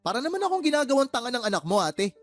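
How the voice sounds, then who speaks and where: A man's voice: extremely high pitch, very animated, very fast, fairly bright tone, soft background music, heavily acted. A man playing a fed-up, exasperated uncle and boss in a Tagalog radio drama, scolding his sister with heated, sarcastic questions. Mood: angry, fed up.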